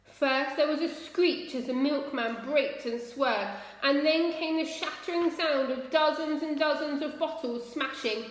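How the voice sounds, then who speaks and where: Casting: female children's story reader, expressive, fairly high voice, heavy room echo. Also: a little slow, hardly any pauses. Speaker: school staff storyteller. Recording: very echoey room with long reverb.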